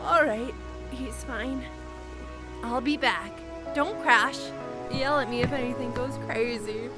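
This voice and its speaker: A woman's voice: on the high side, takes it slowly. A woman playing a spirited young airship pilot and tinkerer in a fantasy audio drama, with music behind her. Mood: weary, bossy.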